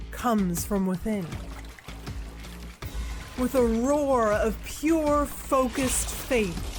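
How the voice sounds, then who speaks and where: Brief: female narrator, slow and dramatic audio drama reading, middle pitch, score playing underneath. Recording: narration over music score.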